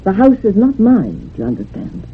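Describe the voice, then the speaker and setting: An actress playing a widowed, house-proud village housekeeper, chatty and matter-of-fact, in a radio drama. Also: female voice, mid-range voice, huge pitch swings, normal speed, a little hiss or hum. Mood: explaining. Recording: muffled lo-fi old radio recording.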